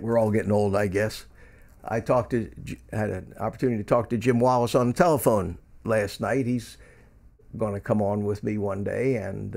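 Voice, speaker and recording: A man's voice: lowish voice, creaky, a little mellow. A man in his seventies from Philadelphia, hosting a casual morning livestream, rambling with many ums and restarts as he reads out and answers viewer chat. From Philadelphia, USA. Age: 70s. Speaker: livestream host.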